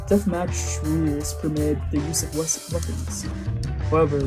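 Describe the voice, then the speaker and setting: A woman streamer reading a visual novel aloud in a casual way, swearing freely in the rough lines. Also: low-pitched, poor audio. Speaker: VTuber playing a visual novel.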